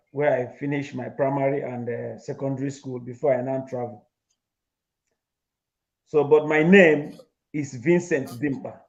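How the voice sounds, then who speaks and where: A man's voice: mid-range voice, mellow. A man with a Nigerian accent, interviewed as a community leader and telling local history, mixing Igbo and English. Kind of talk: casual storytelling interview. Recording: dull lo-fi recording.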